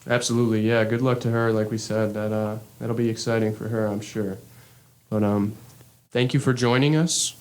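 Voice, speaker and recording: A man hosting a casual sports talk show, speaking in a relaxed, unhurried way with frequent "uh" and "um". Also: lowish voice. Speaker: podcast host.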